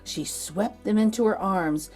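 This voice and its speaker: Lady doing a kids book read aloud, expressive, stretches out key words, mid pitch, music playing behind her. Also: measured pace. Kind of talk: storybook read-aloud narration.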